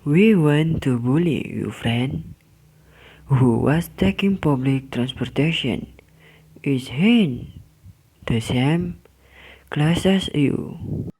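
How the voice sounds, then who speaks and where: Young man as scheming school bully boss, Indonesian accent, unhurried mellow delivery, amateur radio play. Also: a little high, lively intonation, slightly rough, exaggerated voice. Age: young adult. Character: scheming older-brother student, leader of school bullies. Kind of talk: amateur student radio drama.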